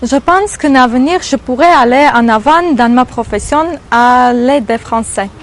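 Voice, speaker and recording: High-voiced woman in her twenties. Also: normal speed, a little hiss or hum. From Armenia. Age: 20s. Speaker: doctor's assistant.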